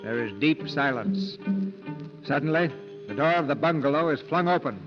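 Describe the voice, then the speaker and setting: A man's voice: fairly high pitch, expressive, normal speed. A man hosting a 1940s radio theater, giving formal scripted narration in a polished, declamatory way. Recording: dull vintage 1940s radio with music.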